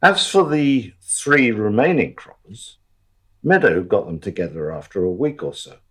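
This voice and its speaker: An actor playing a wry male storyteller, narrating a radio drama with deadpan asides. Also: lowish voice, measured pace, slightly rough, full character voice. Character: wry male storyteller looking back on events.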